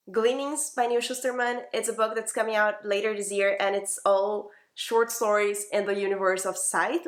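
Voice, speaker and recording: A woman's voice: mid-range voice, roomy sound. A woman giving casual, chatty book reviews as a vlogger, informal, opinionated and emphatic in how she talks.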